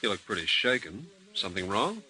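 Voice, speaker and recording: An actor playing a wisecracking, unsympathetic private-eye type in an old-time radio drama, clipped and mocking. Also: male voice, lowish voice, sing-song, fairly quick, pushed and bright, breathy edge, exaggerated voice, poor audio. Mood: wry, probing. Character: sardonic, hard-boiled male detective, unsympathetic and wisecracking. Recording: old radio recording, faint noise.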